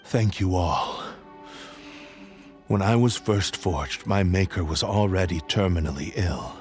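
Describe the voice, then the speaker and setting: A man's voice: low-pitched, a little slow, darkish tone, soft background music. A man playing the proud, solemn spirit of a cursed samurai sword in a video game, speaking in formal, archaic phrases. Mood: somber, regretful. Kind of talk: dramatic video game voice acting.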